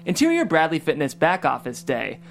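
A reader narrating the stage directions of a comedy script table read, in an even, wry manner. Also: mid-range voice, moderate pace, creaky.

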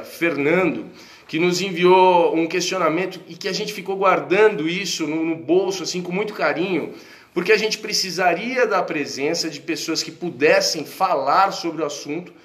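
A man's voice: fairly high pitch, fairly bright tone. A man co-hosting a casual group podcast, upbeat and jokey, introducing guests. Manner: upbeat, jokey, quick to laugh. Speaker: podcast co-host.

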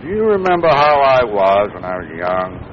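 An American actor playing a kindly older father in a measured, thoughtful way in 1940s radio drama. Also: medium pitch, very animated, natural voice. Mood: mildly puzzled. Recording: muffled, noisy 1940s radio recording.

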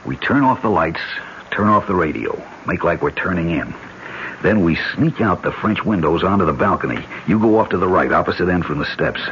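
An actor with an American accent playing a tough, decisive ex-agent in a radio drama, speaking in terse, commanding slang. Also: male voice, sits low, animated, a little fast, slight background noise. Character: tough, decisive American ex-secret-service man leading an escape. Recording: dull old radio broadcast, loud music behind. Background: music.

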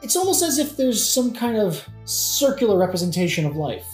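A sardonic young prince played by an actor in a satirical audio play, mocking and theatrical. The pitch swoops widely, and the voice rings in a roomy space with music behind. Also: sing-song, normal speed, a little mellow, close to an everyday voice. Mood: flippant, morbid. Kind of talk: modern-language satirical audio play acting.

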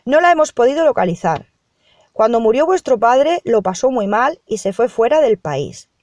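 Woman playing a formal, higher-voiced Spanish lawyer. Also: sing-song, a little mellow, dry recording. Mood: businesslike. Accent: Spain. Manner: formal, measured. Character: formal, businesslike female lawyer reading a will. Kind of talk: amateur radio soap acting.

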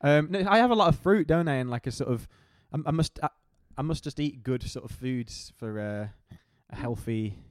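A man's voice: medium pitch, lively intonation, moderate pace, hardly any pauses, no echo, clean studio sound. A British man in his mid twenties, co-hosting a podcast in casual, joking banter. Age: mid 20s. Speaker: podcast co-host.

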